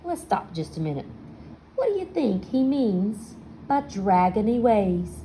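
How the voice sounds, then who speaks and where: Woman, mellow and slow-paced, for an expressive children's read-aloud with asides to the listeners; faint noise behind. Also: mid-range voice. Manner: expressive storytelling, pausing to ask listeners questions. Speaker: storybook reader.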